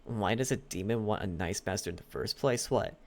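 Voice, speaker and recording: A man playing a possessive, lovesick demon butler in an intimate ASMR roleplay, coaxing with a halting delivery and lingering pauses. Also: fairly low pitch, gravelly, a little mellow. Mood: earnest, smooth.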